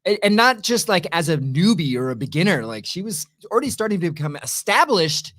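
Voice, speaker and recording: A man with a very lively, swooping delivery co-hosts a casual review show. He is enthusiastic and emphatic, bantering as he makes his case. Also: high voice, fairly quick. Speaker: co-host of an entertainment review show.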